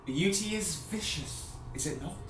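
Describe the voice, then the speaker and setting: Woman playing a solemn low-voiced man, roomy echo. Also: lively intonation, a little slow, noisy background. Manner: theatrical, portentous. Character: Rufus, solemn man given to grave, absurd pronouncements. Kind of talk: surreal radio play acting. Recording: roomy echo, music underneath. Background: music score.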